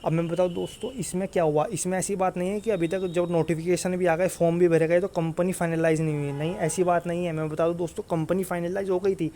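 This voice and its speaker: Higher-pitched man, flat delivery. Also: quick pace, few pauses, slight background noise. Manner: repetitive, insistent, often tags phrases with "okay". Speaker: exam-news YouTuber and coach. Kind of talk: informal exam update talk to students.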